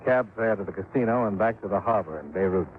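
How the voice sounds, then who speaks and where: An actor playing a dry, hard-boiled insurance investigator who narrates and questions in a clipped way, in a 1950s radio drama. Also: male voice, fairly low pitch, fast, close to an everyday voice. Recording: tinny old radio broadcast with hiss.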